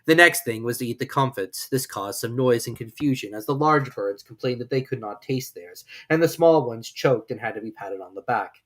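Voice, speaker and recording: A woman narrates a children's storybook in a low voice. Her intonation swings widely, giving the scripted reading an expressive, storytelling feel. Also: moderate pace, hardly any pauses. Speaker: audiobook narrator.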